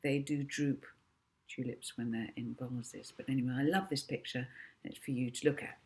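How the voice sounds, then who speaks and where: A woman author reading her own children's book aloud as expressive narration. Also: a bass voice, normal speed.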